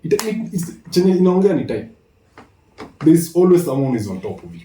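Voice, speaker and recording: A young Kenyan man speaks in a mellow tone from a little way off the mic, with strong room echo. He argues casually and opinionatedly, circling back over his points in English and Swahili slang. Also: fairly high pitch, very animated, brisk. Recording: echoey, noisy, distant from the mic.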